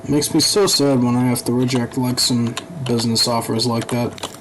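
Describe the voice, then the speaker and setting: A young man giving casual, rambling commentary while playing a game, halting and swearing now and then. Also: medium pitch, fairly even intonation, low-quality recording. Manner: rambling, halting, profane asides. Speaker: gaming YouTuber. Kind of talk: casual let's-play commentary.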